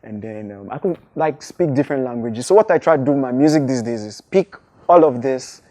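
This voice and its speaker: A young man with a Nigerian accent whose first language is Igbo, answering interview questions in a thoughtful, unhurried way. His voice is mellow and warm, and it sits at a middling pitch for a man. He often pauses with fillers and restarts his sentences as he works through an idea. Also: lively intonation. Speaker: hip-hop artist.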